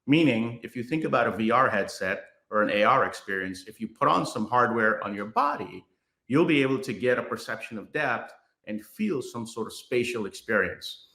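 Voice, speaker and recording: A man from Guyana giving a keynote over a video call and demonstrating software, measured, with frequent fillers and restarts. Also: medium pitch, lively intonation, echoey room. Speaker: keynote speaker. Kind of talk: remote keynote presentation with demo. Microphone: computer mic on video call.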